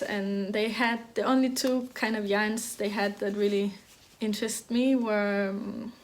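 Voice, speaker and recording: A woman in her thirties from Denmark talks casually about knitting. Her pitch stays level and flat, and she often pauses and restarts mid-sentence. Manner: hesitant, many restarts and fillers; even, flat delivery. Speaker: knitting podcast host. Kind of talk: casual chat.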